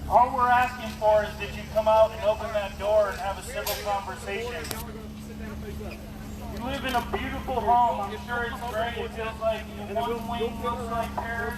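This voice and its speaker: A man giving an impassioned, confrontational protest speech through a bullhorn, addressing an official as sir. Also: extremely high pitch. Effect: bullhorn amplification. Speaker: protester, activist. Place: outdoors on a street outside a government mansion. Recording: echoing bullhorn, noisy outdoor street recording.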